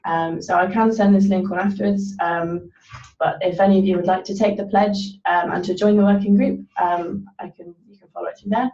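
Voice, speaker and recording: A woman's voice: mid-range voice, steady tone, a little fast, warm tone. A young woman, a student, giving a webinar talk with frequent hesitations and ums. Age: young adult. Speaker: student presenter. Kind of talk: webinar presentation. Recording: distant, echoey, muffled webinar audio.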